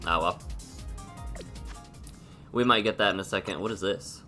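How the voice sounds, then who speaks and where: A man with a stuffy, sniffly nose and a sore throat, streaming a game and chatting casually while thinking aloud with pauses. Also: low-pitched, sharp tone, faint music behind. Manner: laid-back, thinking aloud with pauses and hums. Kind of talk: casual gameplay commentary.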